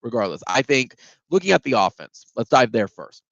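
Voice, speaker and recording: A man's voice: medium pitch, sing-song, moderate pace, vocal fry, dry recording, muffled recording. A man with a head cold, sounding stuffed up, giving a casual solo recap of a football game with lots of ums and restarts. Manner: conversational, many ums and restarts. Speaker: sports podcast host.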